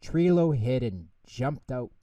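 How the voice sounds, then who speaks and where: A man tells a children's bedtime story from a script. His reading is measured and slow, with lively rises and falls, recorded a little back from the microphone. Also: medium pitch, no room sound, recorded from a distance, lo-fi sound.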